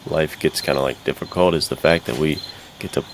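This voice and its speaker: A man with a very deep voice talks like an excited podcast co-host. His delivery is casual, slangy and bro-ish. Some noise sits behind him. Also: brisk. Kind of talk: casual enthusiastic podcast chat.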